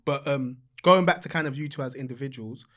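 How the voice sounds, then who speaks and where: A man's voice: mid-range voice, fairly quick, a bit bright. Laid-back male podcast host. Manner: laid-back slangy, rambling intro. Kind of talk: casual podcast chat.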